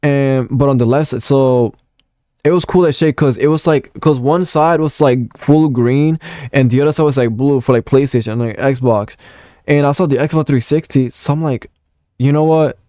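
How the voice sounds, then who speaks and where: A man's voice: mid-range voice, moderate pace, mellow, dry recording, clean studio sound. A nineteen-year-old man from Puerto Rico, rambling through a casual gaming vlog story with lots of swearing and fillers. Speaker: gaming content creator.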